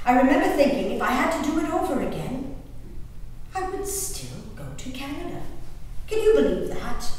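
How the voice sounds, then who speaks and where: A woman performing a historical diary as a resolute, haunted gentlewoman recalling peril at sea, in theatrical, measured phrases with dramatic pauses. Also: sits high. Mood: urgent, frightened. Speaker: stage performer. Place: live reading in a reverberant hall. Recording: distant echoey hall, noisy room recording.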